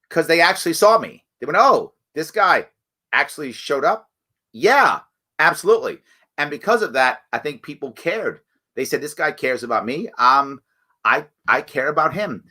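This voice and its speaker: A man hosting a live stream, talking casually and reading viewer comments, his voice raspy and a bit hoarse from weeks of campaigning. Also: on the high side, normal speed. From New York State. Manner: conversational, animated, frequent fillers and restarts. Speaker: show host.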